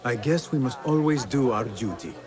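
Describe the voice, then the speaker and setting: An actor playing a polite, measured young assassin from medieval Baghdad in a video game, speaking formally. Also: male voice, mid-range voice, lively intonation, crowd noise, lightly acted. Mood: polite, curious. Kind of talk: video game cutscene acting.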